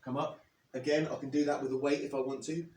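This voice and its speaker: A man in his thirties, slightly hoarse after losing his voice, explaining and demonstrating leg exercises step by step as a fitness coach. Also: medium pitch, flat delivery, quick pace, darkish tone. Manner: plain, step-by-step coaching while demonstrating moves. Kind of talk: instructional workout demo. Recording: echoey, from a distance.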